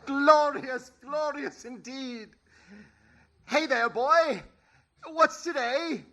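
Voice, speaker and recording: A man's voice: very high voice, extremely slow, bright, forceful tone, heavily acted. A man playing a giddy, generous, reformed old miser with exclamatory, theatrical delivery in a 1930s radio play style. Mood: gleeful, excited.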